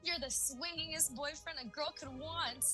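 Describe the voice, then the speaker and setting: A woman's voice: sits high, normal speed, sharp tone, soft background music. An actress playing an overly enthusiastic, sweet teen girl in a cartoon-parody audio drama. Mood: gushing, sweet. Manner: gushing, exaggerated.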